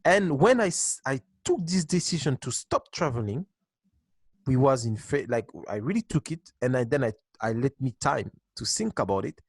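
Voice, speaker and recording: A man in his twenties, a dance teacher, answering interview questions with halting restarts and frequent laughs. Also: mid-range voice, animated, husky, no echo. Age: 20s.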